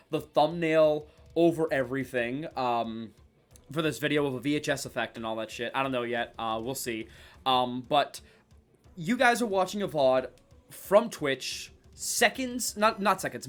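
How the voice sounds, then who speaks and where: A man's voice: fairly high pitch, a bit bright. A man hosting a casual podcast who rambles off the cuff with many fillers and restarts, and sounds a bit nasal from a head cold. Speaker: podcast host.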